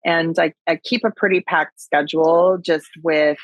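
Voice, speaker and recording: A woman's voice: deep voice, steady tone, normal speed, no room sound, dull audio. A woman with a Wisconsin accent talking casually and chattily as a guest in an interview. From Wisconsin, USA. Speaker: podcast guest, agriculture advocate and speaker.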